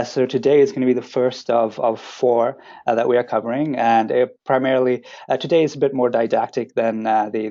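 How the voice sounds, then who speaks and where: A man, not a native English speaker, hosting a training webinar, talking haltingly with many fillers and restarts over lo-fi web audio. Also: medium pitch, dark tone. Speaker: training program coordinator, webinar host. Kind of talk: hesitant webinar presenting.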